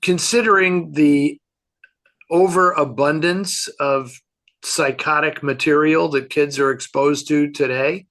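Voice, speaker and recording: Male film pundit, higher-pitched and bright, loose conversational delivery with halting, think-aloud phrasing. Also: steady tone. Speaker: film expert answering fan questions. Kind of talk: casual film discussion.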